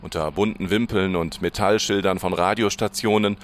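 Low-voiced man narrating a radio report. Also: fairly even intonation, normal speed, noisy background.

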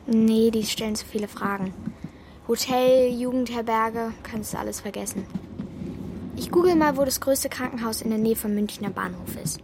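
A woman plays a streetwise young girl in a children's audio drama. She talks in a high voice, brash and sure she knows everything. Background ambience is clearly audible around her. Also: moderate pace, background noise, close to an everyday voice. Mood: confident. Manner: brash, know-it-all. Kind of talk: children's radio play acting.

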